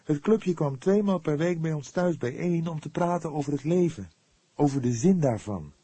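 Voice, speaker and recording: A man voicing an earnest, devout young woman in an audiobook, speaking patiently and with solemn emphasis, quick to defend herself. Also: a little high, expressive, mellow, breathy edge, no echo, pitched above the performer's own voice. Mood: patient, insistent.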